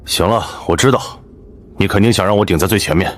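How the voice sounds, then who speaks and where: Deep-voiced, gruff, blunt fighter. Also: male voice, brisk. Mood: grudging. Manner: blunt, grumbling. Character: gruff, blunt tough-guy survivor, grumbling fighter. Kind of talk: animated web drama acting.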